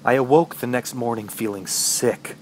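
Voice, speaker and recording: An actor playing an injured, panicked man alone in the wilderness, narrating his ordeal in halting, theatrical radio horror style. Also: medium pitch, a little mellow, slight background noise, natural voice. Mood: exhausted, suffering. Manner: halting, anguished, theatrical delivery. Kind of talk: dramatic first-person radio horror narration. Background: music.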